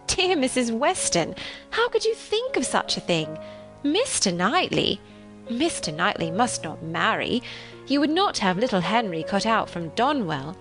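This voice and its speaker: An animated young gentlewoman from a period novel, acted out by a woman reader, spirited and sure of her opinions. Her phrasing is formal and emphatic, swinging widely in pitch, with music playing underneath. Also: measured pace, heavily acted. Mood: shocked, indignant.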